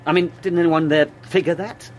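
An actor playing an arrogant, dismissive scientist in a radio drama, with a condescending, sardonic manner. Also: male voice, on the high side, steady tone, brisk, a little hiss or hum, natural voice.

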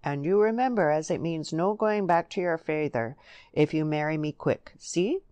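A woman's voice: sits low, moderate pace, dark tone, no echo, close to an everyday voice. A woman voicing a smitten, earnest young countryman in a storybook, with blunt rural English dialect. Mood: eager, insistent.

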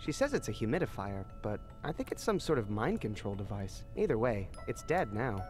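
A bored, sarcastic teenage boy in a video game, with a lively voice that swoops up and down. He throws off deadpan asides, and game music plays softly behind him. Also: on the low side, a little fast. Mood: wry. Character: restless teenage boy kept aboard a spaceship.

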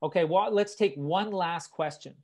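A man hosting and moderating a live online interview, reading out audience questions in a relaxed, agreeable way. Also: high voice, moderate pace. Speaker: event host, moderator.

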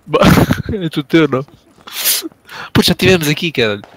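A young man, loud and excitable, swearing in casual chat during an online game. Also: a little high, fairly quick, no room sound, low-quality recording. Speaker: gamer teammate. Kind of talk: casual chat while gaming.

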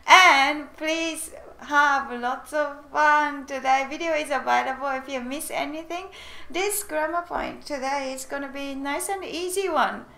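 A woman's voice: high-pitched, unhurried, creaky, pushed and bright, echoey room. A bubbly woman from Japan with a Japanese accent, a native Japanese speaker teaching a language lesson on a livestream and chatting with viewers. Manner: bubbly, giggly, switches between languages. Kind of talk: live-streamed lesson with chat greetings.

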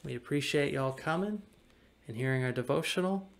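An American man in his thirties, a pastor reading a devotional aloud in a measured, earnest, formal way. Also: a little high, animated, gravelly. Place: small indoor room at home or office. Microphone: webcam or laptop mic at desk distance.

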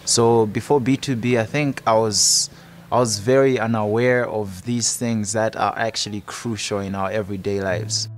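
A man's voice: lowish voice, fairly even intonation, normal speed. A man in his twenties with a Malawian accent, speaking as a program participant in a documentary interview. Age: 20s.

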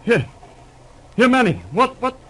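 A flustered, trusting doctor in a 1930s radio play. His voice is high and excitable, swinging about as he stammers and fusses. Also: unhurried, husky, heavily acted. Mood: alarmed. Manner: stammering, fussy.

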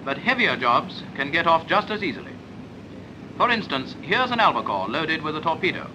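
British man, clipped instructional delivery, fairly high male pitch, 1940s film narration, noisy old soundtrack. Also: big pitch swings, normal speed.

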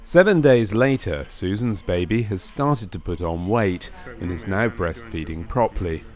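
A man reading scripted narration for a medical training film in a measured, matter-of-fact way. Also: low voice, expressive, darkish tone.

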